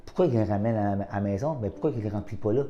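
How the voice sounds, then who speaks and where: Dark-voiced Québécois man telling his story. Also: lowish voice, racing, highs cut off. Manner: lively storytelling, acts out his own past thoughts. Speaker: adult literacy learner. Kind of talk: personal testimony.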